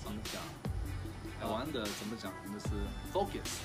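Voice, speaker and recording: A man's voice: lowish voice, lively intonation, normal speed, over loud music. A young man from the United States with an American accent, chatting casually as a guest in an easygoing, slightly hesitant way. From Cincinnati, United States. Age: young adult. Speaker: interview guest. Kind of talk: casual chat, switching between English and Mandarin. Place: outdoor garden seating by apartment buildings.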